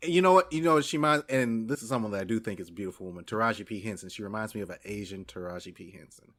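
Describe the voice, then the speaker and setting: A man co-hosting a podcast, chatting casually and excitably, repeating his phrases and swearing freely. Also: on the low side, racing. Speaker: podcast co-host. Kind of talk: casual, enthusiastic podcast chat.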